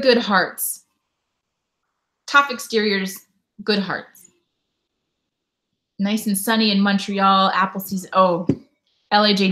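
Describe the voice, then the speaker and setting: A woman in her thirties, from Canada, streaming live from her home. She chats easily and reads viewers' comments aloud, then answers them, with a voice in a middle pitch range. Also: bright, forceful tone. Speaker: YouTube host. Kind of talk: casual live stream chat.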